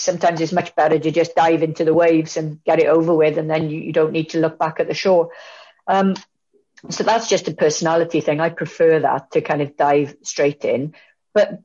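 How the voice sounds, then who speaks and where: Woman guest speaker, low voice, candid and thoughtful, muffled call audio. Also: a little mellow. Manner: candid, reflective, many fillers and restarts. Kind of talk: online conference Q&A answer. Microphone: video call.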